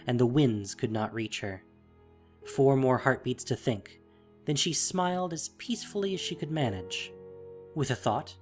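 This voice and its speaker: A man narrating a fantasy story as scripted dramatic prose. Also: medium pitch.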